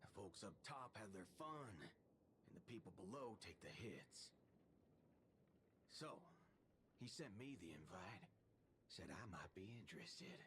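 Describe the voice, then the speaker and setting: An actor playing a brash, teasing cyborg cowboy outlaw in a video game, with a drawl and folksy mock swearing. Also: male voice, deep voice, lively intonation, measured pace, pauses often, a bit bright, a little hiss or hum, heavily acted. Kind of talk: video game character dialogue.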